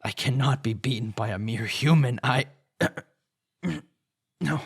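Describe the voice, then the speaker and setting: A man's voice: medium pitch, creaky, breathy edge, no echo, studio quality, heavily acted. A man playing a sinister, arrogant vampire lord in an ASMR roleplay, possessive and commanding. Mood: shocked, desperate. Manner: commanding, drawn-out, menacing.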